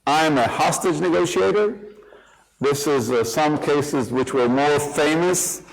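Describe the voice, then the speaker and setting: A man speaks in a slightly raised register and leads a live workshop group. His delivery is animated and loose, with frequent restarts, repetitions and joking asides. He sounds like a seasoned speaker addressing a room. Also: a little high, slow, creaky, slight background noise, low-quality recording. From Israel. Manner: animated, repeats and rephrases himself, joking asides. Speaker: workshop speaker and hostage negotiator. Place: live workshop in front of an audience.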